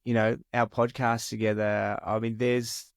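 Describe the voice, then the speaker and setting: A man hosting a podcast with a smooth, resonant voice, recorded dry and close. He asks friendly questions in a halting way, full of ums and restarts. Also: mid-range voice, level tone, no echo. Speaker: podcast host. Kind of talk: casual interview.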